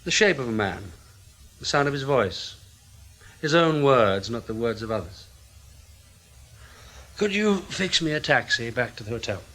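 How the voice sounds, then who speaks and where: Measured, stubborn intelligence man. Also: medium pitch, sing-song, sharp tone, exaggerated voice, rough recording. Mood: guarded, steady. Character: guarded, stubborn British intelligence investigator, Mr Powell. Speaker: lead actor and first-person narrator. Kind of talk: radio drama acting and narration.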